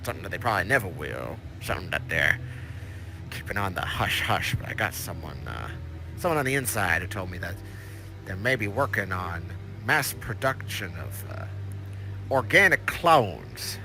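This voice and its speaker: A man's voice: low voice, big pitch swings, moderate pace, creaky, over music, exaggerated voice. A man voicing a rambling male crab-like alien information broker who stammers through tangled restarts in a Southern accent. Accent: Southern US, as the figure.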